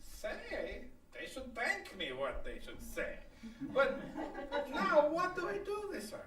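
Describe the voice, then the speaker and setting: A roomy live-stage recording of a blunt old immigrant grandmother, played by a woman. She speaks broken English in a high, swooping radio-comedy delivery. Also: wildly swooping pitch, slow pace, fairly bright tone, distant mic, heavily acted. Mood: wry, flustered. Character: blunt, wry immigrant grandmother from a fictional Slavic country. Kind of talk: old-time radio comedy acting before an audience.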